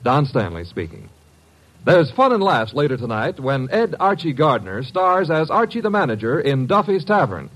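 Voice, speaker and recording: Formal 1940s radio announcer. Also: male voice, on the high side, big pitch swings, moderate pace, fairly bright tone, rough recording. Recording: old radio broadcast with music.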